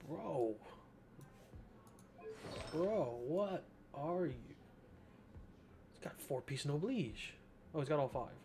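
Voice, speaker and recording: Excitable young male game streamer. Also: fairly high pitch, slow, long pauses, a little hiss or hum. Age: young adult. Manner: excitable, slangy, joking. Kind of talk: casual gameplay commentary.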